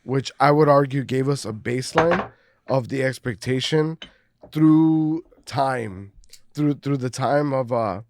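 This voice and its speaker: A man talks through a beer review in a loose, rambling way, cursing casually and breaking into laughter. His voice sits at an ordinary pitch and sounds close and dry, with no room sound behind it. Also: a little slow, no echo. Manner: rambling, swears freely, laughs often. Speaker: podcast co-host.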